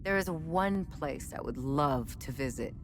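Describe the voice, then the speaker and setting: An actress playing a chatty, wry military research scientist in a video game, explaining things in a measured, conversational way. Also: female voice, low-pitched, animated, over music. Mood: wistful. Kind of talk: scripted game dialogue, conversational exposition.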